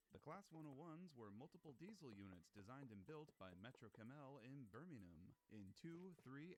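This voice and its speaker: A man's voice: mid-range voice, low-quality recording. A man reading scripted documentary-style narration in an enthusiastic, emphatic explainer manner.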